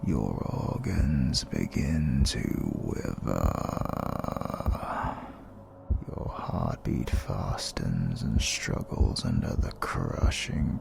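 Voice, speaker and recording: A British man playing a menacing, pompous male supervillain in an audio roleplay, with a breathy voice that often drops to a whisper. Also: very deep voice, background noise, full character voice. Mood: menacing, relishing cruelty. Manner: slow, deliberate, pompous and threatening. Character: menacing, mysterious male supervillain who drains life force. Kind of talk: ASMR audio roleplay monologue.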